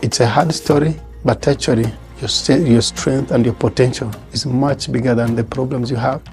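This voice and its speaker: A man who grew up as a refugee, telling his story in an interview, halting with many fillers and pauses. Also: mid-range voice, fairly even intonation, darkish tone, faint music behind. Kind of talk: interview testimony.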